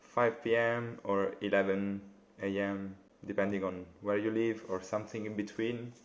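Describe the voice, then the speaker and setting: A young man with an Italian accent, hosting a live interview over a video call, friendly and halting, with many fillers. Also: fairly low pitch, little pitch movement, a little hiss or hum. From Italy. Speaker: interviewer, bassoonist. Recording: dull lo-fi video call audio.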